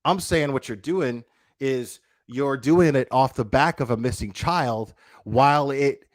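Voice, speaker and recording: Guy doing a sweary livestream rant, blunt and confrontational, medium pitch, really dry sound no room echo. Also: measured pace. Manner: emphatic repetition and rhetorical questions. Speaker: online commentator, livestreamer.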